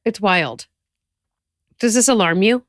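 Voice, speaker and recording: A woman co-hosting a podcast, talking casually and candidly with a friend, quick to react and give her opinion. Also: medium pitch, expressive, no room sound, clean studio sound. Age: adult, parent. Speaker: podcast co-host.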